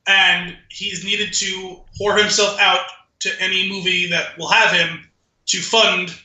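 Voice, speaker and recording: A man's voice: sits high, level tone, slow pace, pushed and bright, reverberant, rough recording. A man co-hosting a podcast in casual chat, telling stories with offhand profanity. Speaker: podcast co-host.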